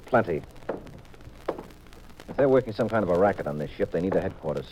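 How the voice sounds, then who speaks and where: An actor playing a cool, determined detective husband in a 1950s radio mystery, dry and unruffled, on a dull old recording. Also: male voice, sits low, lively intonation, fairly quick, background noise. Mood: determined, brisk. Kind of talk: 1950s radio drama acting.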